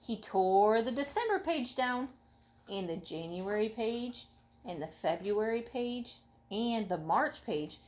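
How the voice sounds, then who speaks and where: Animated woman reading a storybook aloud. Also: on the high side, slow. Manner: expressive, asking the children questions. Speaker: mother reading to her children. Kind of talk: storybook read aloud with casual asides. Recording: home recording with background noise.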